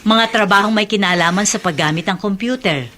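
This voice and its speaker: A woman's voice: mid-range voice, brisk, sharp tone, slight background noise, natural voice. An actress with a Filipino accent playing a dedicated, compassionate, middle-aged woman doctor in a radio drama. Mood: encouraging. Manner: earnest, reassuring.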